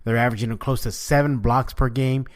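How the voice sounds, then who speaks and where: A man's voice: mid-range voice, steady tone, brisk. A man delivering a prepared basketball report as a podcast host, running through records and statistics. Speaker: sports podcast host giving a team report.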